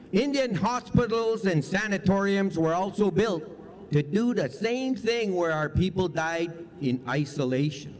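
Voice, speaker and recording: A man's voice: high-pitched, a little mellow, long reverb tail, poor audio. A man presenting scripted documentary narration in a solemn, emphatic way.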